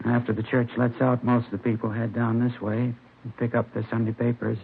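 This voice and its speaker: An actor playing a mild, middle-aged American shopkeeper in a 1950s radio drama, gravelly, earnest and plain-spoken. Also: male voice, medium pitch, completely flat delivery, a little fast. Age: 50s. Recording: noisy, muffled vintage radio recording.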